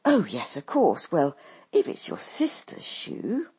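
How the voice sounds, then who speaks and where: A woman voicing a polite, slightly awkward young man, in a husky breathy voice. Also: lowish voice, huge pitch swings, takes it slowly, a little mellow, full character voice.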